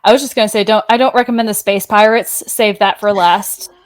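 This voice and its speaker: A woman's voice: medium pitch, steady tone, a little fast. A woman guesting on a podcast, chatting casually and easily.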